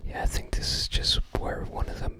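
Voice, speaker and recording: An ASMR host whispering softly and breathily close to the microphone, musing casually and tentatively. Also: brisk. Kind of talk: ASMR, casual commentary while browsing a game guide book.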